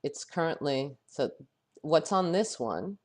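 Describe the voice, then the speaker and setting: A man with a head cold and a fading voice, teaching a software tutorial while he thinks aloud, halting often and correcting himself. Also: a little high, lively intonation. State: head cold, losing his voice. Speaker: software instructor. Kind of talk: screen-recorded software tutorial.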